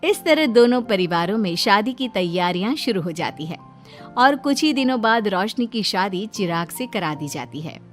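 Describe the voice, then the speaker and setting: A woman's voice: mid-range voice, animated, normal speed, faint music behind. A woman reading scripted narration for a cartoon moral story.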